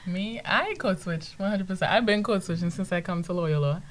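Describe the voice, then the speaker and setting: Young woman, candid and quick. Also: fairly low pitch, slight background noise. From Trinidad and Tobago. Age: young adult. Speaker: podcast guest. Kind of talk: casual chat.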